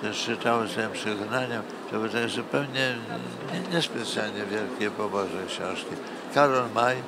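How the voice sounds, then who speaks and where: An older man, a monk, reminiscing in an interview at a book fair in a rambling, unhurried way. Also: lowish voice. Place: busy indoor book fair hall. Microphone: handheld interview mic. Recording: loud book fair hall noise.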